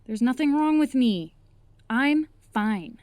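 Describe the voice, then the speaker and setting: A woman's voice: high voice, expressive, slow pace, darkish tone, clean tone, no echo. A woman acting a homebound young woman in an audio comedy, defensive and jokey, protesting with sarcastic drama.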